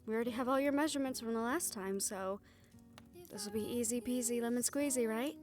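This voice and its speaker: A woman's voice: fairly high pitch, brisk, a little mellow, close to an everyday voice. Woman acting caring, teasing supernatural sweetheart over music. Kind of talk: fantasy romance audio roleplay acting. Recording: music behind the voice.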